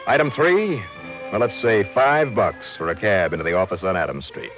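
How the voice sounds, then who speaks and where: An American actor playing a wry, easygoing insurance investigator in an old-time radio detective drama, with a sardonic, conversational manner. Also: male voice, fairly low pitch, moderate pace, natural voice. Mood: brisk, wry. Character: wry, breezy freelance insurance investigator, hard-boiled but easygoing. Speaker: narrator and lead actor of a detective serial. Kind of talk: old-time radio detective acting and first-person narration. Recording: muffled vintage radio recording with music.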